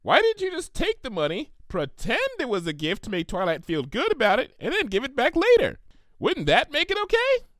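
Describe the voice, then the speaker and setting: Man putting on a high, bubbly girl cartoon pony. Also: huge pitch swings, a little fast, a bit bright, no room sound, clean studio sound, exaggerated voice. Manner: bubbly, sing-song, gushing. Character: perky, earnest young female cartoon pony, bubbly and sympathetic.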